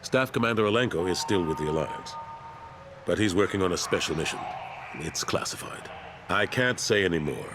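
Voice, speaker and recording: Older military statesman for a game: deep, weary, principled, measured, faint noise behind. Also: lively intonation. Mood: grave, guarded. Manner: measured, earnest. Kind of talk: video game dialogue acting.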